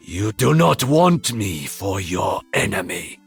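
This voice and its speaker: An actor playing a proud, stern master archer in a video game, with a Japanese accent and a formal, haughty delivery. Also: male voice, lowish voice, very animated, slow, vocal fry, husky, faint music behind, heavily acted. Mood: dismissive, proud. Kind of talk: video game character voice lines.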